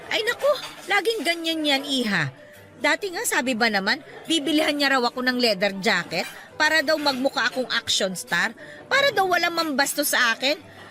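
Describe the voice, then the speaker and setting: An actress playing a fond, bantering older mother in a radio drama, her voice lowered to sound aged, with soft music underneath. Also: very animated, moderate pace, full character voice. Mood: amused. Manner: fond, bantering, laughs a lot. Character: chatty, teasing older mother of the groom.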